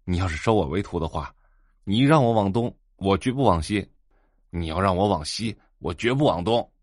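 An actor playing an eager, fawning young computer shop owner in an audio drama, with an excitable, ingratiating manner. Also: male voice, deep voice, moderate pace, no echo, studio quality. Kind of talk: Chinese audio drama acting.

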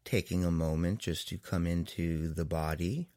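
A man leading a guided meditation as a therapist, in a soothing manner with long pauses between phrases. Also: low-pitched, steady tone, darkish tone, no room sound.

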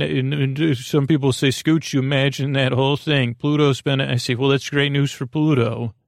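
A man hosting a sleep podcast, talking in a meandering, halting, whimsical way with a creaky voice. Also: medium pitch, dry recording, clean studio sound. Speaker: podcast host. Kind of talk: rambling bedtime sleep podcast monologue.

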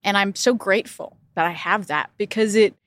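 Brief: middle-aged woman from Denmark, podcast guest, relaxed reflective chat, dry sound. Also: mid-range voice, normal speed, no room sound. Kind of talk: casual interview conversation.

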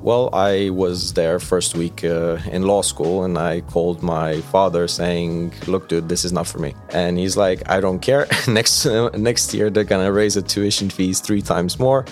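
Low-voiced young man from Romania. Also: measured pace, few pauses. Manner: relaxed, conversational explaining with fillers. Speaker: software engineer. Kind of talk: recruitment video interview. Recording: soft music bed behind.

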